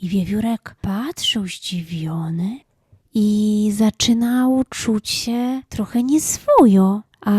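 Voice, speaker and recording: Woman narrator, children's bedtime-style tale, calm, slow delivery, mid pitch, dry close recording. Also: no echo. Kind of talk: calm scripted storytelling for children.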